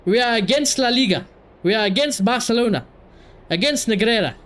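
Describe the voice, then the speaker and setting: A man giving casual livestream commentary in an animated, slangy, sweary way. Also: very high voice, a little fast. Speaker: live streamer.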